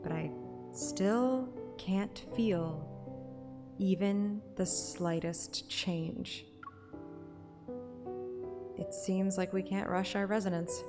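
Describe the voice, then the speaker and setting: A woman's voice: mid-range voice, drawn-out words, pauses often. A woman reading a game story aloud as a streamer, moving between first-person audiobook narration and casual asides. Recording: music behind the voice.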